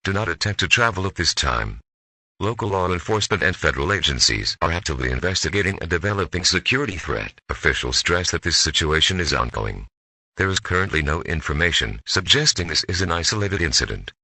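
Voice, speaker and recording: Male emergency announcer, authoritative and formal. Raspy tone with lively swings in pitch. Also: fast talker, dry recording. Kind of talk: scripted emergency broadcast announcement.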